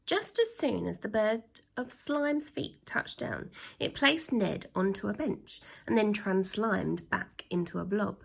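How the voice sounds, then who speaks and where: A woman reading a children's story aloud with dramatic emphasis, pausing to put questions to her young listeners. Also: mid-range voice, animated, gravelly, husky, rough recording. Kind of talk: expressive storytime narration with asides to children.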